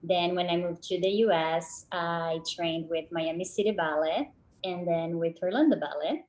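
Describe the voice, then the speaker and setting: A woman's voice: lowish voice, normal speed, a little mellow, rough recording. A young woman from Brazil, a professional ballet dancer, answering questions in a friendly way. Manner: friendly, laughs easily. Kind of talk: casual interview answers.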